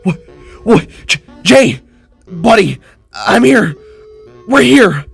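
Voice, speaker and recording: A tearful warrior father in a fantasy story, played by a man, urgent and babbling. His voice is pushed high with panic, with faint music behind it. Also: very animated, slow pace, vocal fry, husky, heavily acted. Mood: shocked, tearful. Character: frantic, tearful warrior father calling to his lost son.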